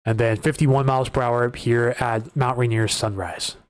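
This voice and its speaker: A man hosting a live weather stream, talking through wind data and viewer questions in a casual, conversational way, often restarting his sentences, his voice starting to go hoarse. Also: mid-range voice, fairly even intonation, moderate pace, dry recording. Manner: conversational, repeats words and restarts while reading data. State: voice getting hoarse. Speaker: weather livestream host.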